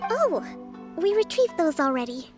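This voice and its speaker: A woman playing a gentle, composed young island leader in an anime game, polite and measured, with a soft girlish voice. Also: very high-pitched, sing-song, over music, exaggerated voice. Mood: composed, reassuring.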